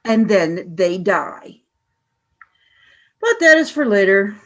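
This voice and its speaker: An imperious female alien commander, acted by a woman in a radio drama. She speaks deliberately and with scorn, cold and cruel, used to being obeyed. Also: medium pitch, sing-song, highs cut off. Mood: cold, brooding. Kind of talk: audio drama radio play acting.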